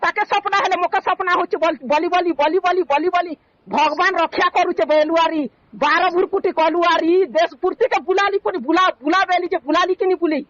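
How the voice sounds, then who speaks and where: A woman's voice: very high-pitched, steady tone, fairly quick, fairly bright tone. A woman with a Sambalpuri accent argues on a recorded phone call, scolding and accusing insistently and repeating her phrases. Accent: Sambalpuri (western Odisha). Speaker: caller in a family dispute. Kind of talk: heated argument on a phone call.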